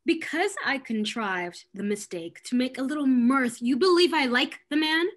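A woman acts a defiant young lady of period comedy. Her voice sits fairly high, and she is arch and quick with her wit. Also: animated. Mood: arch, defensive. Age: young adult. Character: witty, defiant young lady resisting an arranged marriage. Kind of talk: period stage comedy read aloud. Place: indoors, on a video call.

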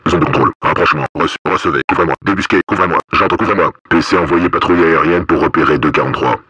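An actor playing a stern, cold paramilitary police officer in a video game, giving terse commands through a radio filter. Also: male voice, low-pitched, fast talker, bright, forceful tone, breathy edge, no echo, lo-fi sound, full character voice. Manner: terse, commanding, coded military phrases.

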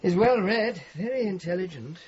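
Courteous host, animated higher-pitched voice. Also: male voice, moderate pace, dull audio, slight background noise. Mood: thoughtful. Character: courteous, thoughtful host offering lodging. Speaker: actor in a radio drama. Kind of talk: naturalistic radio drama acting.

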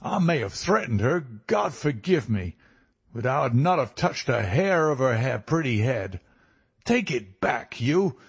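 A man voicing a fierce, lovesick and desperate American criminal in a storybook reading, forceful and emotional. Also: mid-range voice, lively intonation, takes it slowly, pitched above the performer's own voice. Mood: frantic, fierce.

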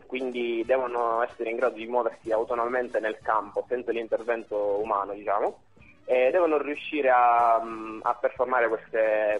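A young man explaining things haltingly in a phone interview. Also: medium pitch. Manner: hesitant, halting. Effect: telephone. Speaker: interview guest, university robotics team member. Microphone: telephone. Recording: tinny phone line, music underneath.

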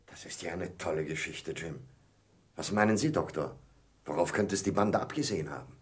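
An actor playing an enthusiastic, self-assured baron with a husky voice and grand, emphatic manner in a German radio play. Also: male voice, low-pitched, brisk. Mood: intrigued.

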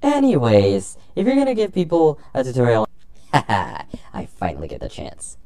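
A man's voice: mid-range voice, huge pitch swings, moderate pace, vocal fry, mellow. A man giving exclamatory, exaggerated commentary as a video creator. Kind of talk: animated commentary with sign-off.

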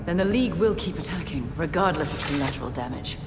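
Low-voiced icy assassin queen, evasive and cryptic, roomy reverb. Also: animated, fast. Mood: cold. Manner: cool, evasive, coolly mocking. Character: cool cryptic female assassin leader. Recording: roomy reverb with music score.

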